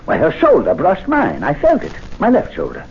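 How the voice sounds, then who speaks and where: An actor playing a scornful gentleman club member in a 1970s radio drama, mocking by turns and menacing, on a dull broadcast recording. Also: a little high, huge pitch swings, moderate pace, vocal fry, slight background noise, heavily acted. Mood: furious. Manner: mocking, rapturous, then menacing.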